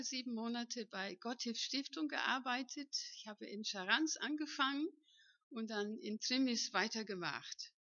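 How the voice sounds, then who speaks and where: A woman in her fifties from northern Germany tells a church congregation about her missionary work. Her fairly high voice has a bright edge, and she talks chattily, often asking the room to agree. The sound is close and dry but dull. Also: no echo. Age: 50s. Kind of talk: personal testimony to a church congregation. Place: church hall.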